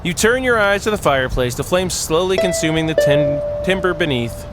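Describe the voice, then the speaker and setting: A man's voice: fairly high pitch, animated, fairly quick, heavy background noise. A man streaming a visual novel, reading it aloud and playfully reacting with his own commentary. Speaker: video game streamer. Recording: music playing behind the voice. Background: game music.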